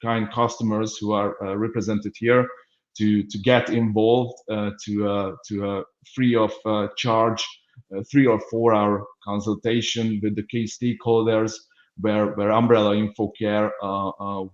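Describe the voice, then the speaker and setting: A man's voice: fairly low pitch, little pitch movement, unhurried, echoey room, lo-fi sound. A man with a Hungarian accent opening a business webinar on a video call, speaking hesitantly with many fillers and restarts. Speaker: business development manager. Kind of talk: webinar introduction.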